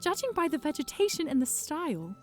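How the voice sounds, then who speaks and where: A woman playing an eager, curious young fantasy princess in an audio drama, expressive and slightly hesitant. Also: high voice, fairly quick, soft background music. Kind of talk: fan audio drama acting.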